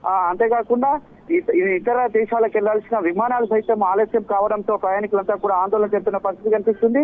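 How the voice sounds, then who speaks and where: A man giving an unscripted live news report in a halting, repetitive, hesitant way. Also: high voice, steady tone. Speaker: news correspondent. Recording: muffled, band-limited live link.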